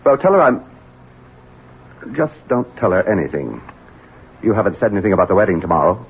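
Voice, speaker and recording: An actor playing a selfish, evasive Southern bachelor heir in a 1970s radio drama, with a husky voice and an American Southern accent. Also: male voice, fairly low pitch, big pitch swings, a little fast, exaggerated voice. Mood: irritable, cold. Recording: muffled 1970s radio broadcast.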